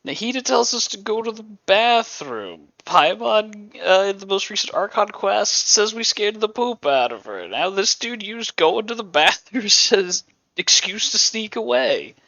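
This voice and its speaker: A man's voice: high voice, animated, a little slow, vocal fry, pushed and bright, dry recording, highs cut off. A man livestreaming casual game commentary in a sarcastic, joking manner.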